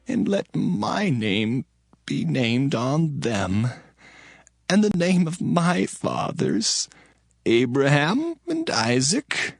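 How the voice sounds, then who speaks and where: Audio scripture drama: grave old dying father, gravelly, drawn-out declamation, music underneath. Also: a little high, no echo, full character voice. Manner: slow, halting, solemn declaiming. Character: Israel, aged dying biblical patriarch, solemn, blessing his sons.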